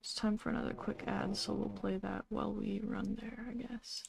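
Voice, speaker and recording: A woman's voice: mid-range voice, little pitch movement, normal speed, gravelly. A woman doing casual game stream commentary in a dry, offhand way. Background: game music.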